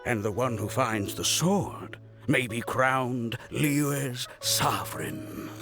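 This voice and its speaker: A man voicing a pompous, boastful, excitable archaeology scholar in a video game, in a declamatory way. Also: mid-range voice, slow pace, over music. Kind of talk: English game voice acting.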